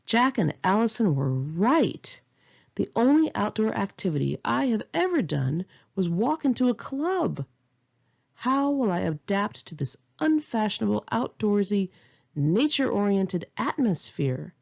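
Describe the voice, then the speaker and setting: Female memoir narrator, confessional and emphatic, lively intonation with a creaky edge, modest lo-fi sound. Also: medium pitch, unhurried. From United States. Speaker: author reading own memoir. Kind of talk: memoir audiobook reading.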